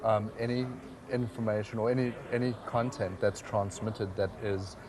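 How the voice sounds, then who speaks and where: A man's voice: on the low side, fairly even intonation, normal speed, background noise. A man from South Africa, a website founder answering interview questions with many fillers and restarts.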